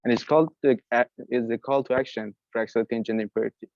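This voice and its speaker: A man's voice: medium pitch, fairly even intonation, fast talker, vocal fry, darkish tone. A young man giving a prepared presentation as a facilitator of an online event. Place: online video call. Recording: dry, dull lo-fi call audio.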